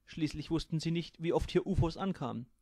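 A man's voice: fairly high pitch, brisk, warm tone, no echo. A man narrating his own humorous science fiction story in a dry storytelling manner. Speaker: author reading his own book. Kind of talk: audiobook narration.

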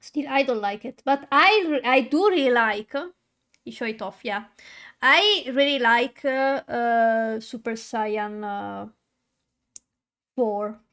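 A woman's voice: on the high side, slow, a bit bright. A young woman streaming while coloring, chatting casually to her viewers, with a stutter and many fillers and restarts. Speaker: VTuber streamer.

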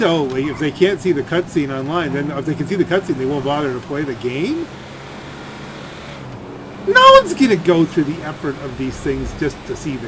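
A man giving casual, rambling gameplay commentary as he thinks aloud while playing. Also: fairly high pitch. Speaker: gaming video host. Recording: loud game gunfire and vehicle noise. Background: video game gunfire and vehicle sounds.

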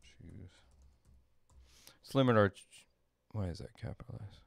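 A middle-aged man giving a casual coding demo as a tutorial presenter, halting and thinking aloud as he works. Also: lowish voice, gravelly, slightly rough, no echo. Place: small home room, indoors.

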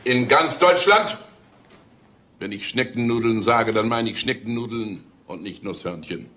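An actor in a radio drama playing a grumpy, impatient police chief who snaps orders sarcastically. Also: male voice, medium pitch, expressive, measured pace, sharp tone. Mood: irritated, bossy. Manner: bossy, snapping, sarcastic. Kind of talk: German radio drama acting.

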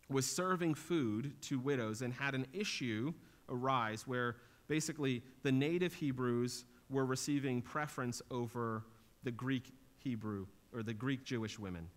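A man's voice: medium pitch, measured pace. A man in his thirties preaching a sermon in a small church, explaining scripture in an earnest teaching tone that lifts for emphasis. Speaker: pastor. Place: small church stage with drum kit.

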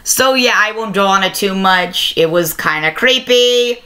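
A young adult giving a casual video review to camera, halting and often self-correcting, recorded on a webcam mic in a small room. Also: medium pitch, expressive, bright, forceful tone, smooth. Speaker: review show host. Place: small plain-walled room indoors. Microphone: laptop webcam microphone at arm's length.

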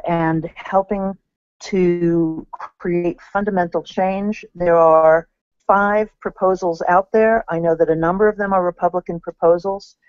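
A woman's voice: fairly low pitch, no room sound. A middle-aged woman, a citizen caller, earnestly leaving a message for her representative from a room at home through a laptop mic. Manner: earnest, pleading. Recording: dull lo-fi video call sound.

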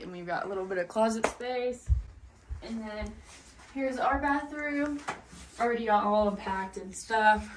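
A woman's voice: on the high side, noisy background. A young woman chatting casually into her phone as she films a travel vlog, bubbly and talkative. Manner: bubbly, chatty, says "cute little" a lot. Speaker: vlogger. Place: outdoors on ski slopes and snowy streets, and indoors in a rental house. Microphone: phone held at arm's length.

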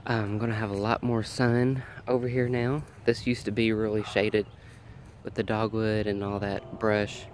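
A man's voice: fairly low pitch, flat delivery, measured pace, a little hiss or hum. A man with a nasal, allergy-congested voice chats casually as he films a walk through his backyard garden. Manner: chatty, thinking aloud while filming. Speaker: garden vlogger.

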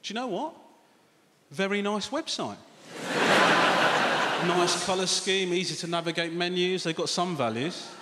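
A man, doing an animated stand-up set on a theatre stage with a handheld mic, confiding and swearing freely. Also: high voice, unhurried, pauses often, heavy background noise. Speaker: stand-up comedian. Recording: echoey hall with loud audience laughter.

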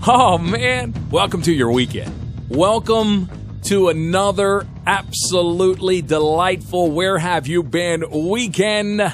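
A man hosting a radio talk show in a studio, animated and folksy, often tripping over and repeating his words. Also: sits high, slow, soft background music. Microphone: studio microphone.

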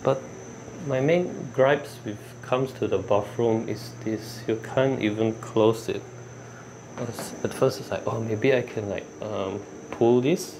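Slow, rambling man vlogging in street noise. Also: lowish voice. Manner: rambling, loose, many fillers. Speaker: travel vlogger. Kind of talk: casual walking vlog. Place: hotel room, then city street outdoors. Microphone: handheld camera on small tripod. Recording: loud street and room noise.

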